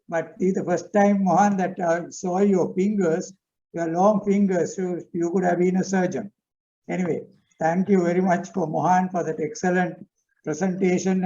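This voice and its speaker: A man giving a formal vote of thanks on a video call, slow and halting with many fillers. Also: fairly high pitch, dark tone. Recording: dull lo-fi video call audio.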